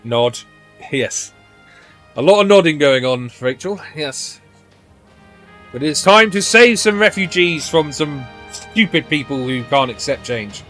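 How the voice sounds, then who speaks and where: A man's voice: fairly high pitch, lively intonation, a little slow, pauses often, a bit bright. A man streaming a video game, commenting casually and offhandedly as he plays. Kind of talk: casual playthrough commentary, reading game dialogue aloud. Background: faint game music.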